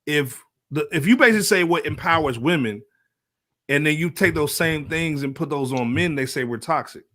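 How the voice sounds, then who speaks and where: A man's voice: on the high side, animated, moderate pace, bright, forceful tone, no echo, clean studio sound. A man with an American accent hosting a casual panel podcast in slangy, colloquial speech. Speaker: podcast host.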